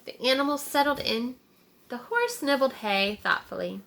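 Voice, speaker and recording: A woman's voice: high voice, expressive, moderate pace, vocal fry, a bit bright. A woman teacher reading a picture book aloud to young children, checking in with questions as she narrates.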